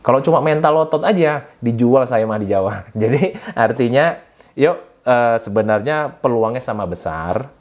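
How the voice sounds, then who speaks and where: This is a middle-aged Indonesian man with a mid-pitched voice, giving an informal motivational talk. He is earnest and conversational, rambling from thought to thought and often starting again to rephrase. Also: a little mellow. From Sumatra, Indonesia. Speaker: webinar guest speaker on entrepreneurship.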